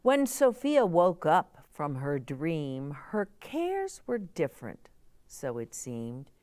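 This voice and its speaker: A woman's voice: deep voice, huge pitch swings, takes it slowly, a little mellow, dry recording. A woman hosting a children's story time, speaking in simple, emphatic phrases as she addresses young listeners. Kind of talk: expressive read-aloud and chat addressed to young children.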